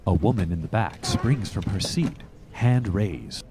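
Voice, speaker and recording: Low, theatrical male narrator over music. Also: lively intonation, measured pace. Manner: theatrical, storyteller delivery. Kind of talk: dramatic scripted narration for comedy audio drama.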